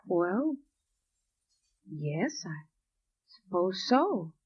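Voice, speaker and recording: An actress playing a polite, slightly flustered hospital nurse, hesitant and deferential, in a 1950s radio drama. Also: female voice, on the low side, sing-song, very slow, darkish tone, breathy edge, no echo, exaggerated voice. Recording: dull lo-fi 1950s radio recording.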